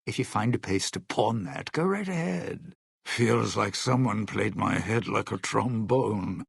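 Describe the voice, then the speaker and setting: Casting: a world-weary, sardonic showman in a video game; husky male voice, dry close sound, old-time slang and sly patter. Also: fairly low pitch, lively intonation, unhurried, dry recording, exaggerated voice. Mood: weary, wry. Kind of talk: video game companion voice lines.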